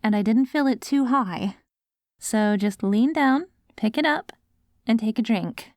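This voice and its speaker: A woman playing an affectionate post-apocalyptic wife in an ASMR audio roleplay, coaxing and gently praising her ghoul husband. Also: a little high, measured pace, no room sound, studio quality, lightly acted. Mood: gentle, coaxing.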